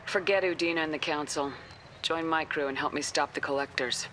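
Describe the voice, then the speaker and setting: A woman's voice: sits low, fairly quick, a bit bright, slight background noise, low-quality recording. A woman acting a commanding space soldier in a video game, blunt, controlled and dry. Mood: firm, businesslike. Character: tough, terse, commanding space soldier heroine.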